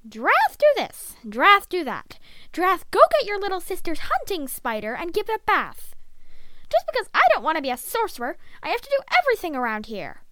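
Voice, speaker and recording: Woman voicing a whiny, high-pitched young elf boy. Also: wildly swooping pitch, moderate pace, fairly bright tone, no room sound, full character voice. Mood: whiny complaint. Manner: whiny, exasperated, emphatic. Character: sulky, put-upon young male dark elf, complaining.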